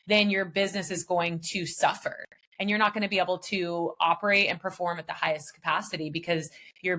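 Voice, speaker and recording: A woman with a slightly low voice talks as a podcast guest. She is emphatic and casual, stacking point after point with conviction and now and then dropping a mild swear. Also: sharp tone. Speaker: guest, business founder. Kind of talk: motivational interview talk.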